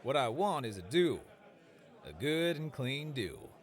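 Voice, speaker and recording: An actor voicing a cocky, easygoing fantasy gunslinger with a Western American accent, drawling and teasing in slang. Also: male voice, mid-range voice, sing-song, a little slow. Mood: cocky, challenging.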